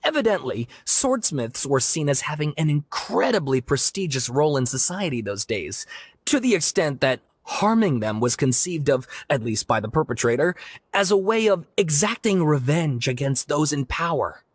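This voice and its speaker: A man's voice: a little high, very animated, normal speed, slightly rough, dry recording, clean studio sound. A man voicing a polite, earnest, long-winded scholar in a game, speaking formally and eagerly. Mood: earnest, scholarly.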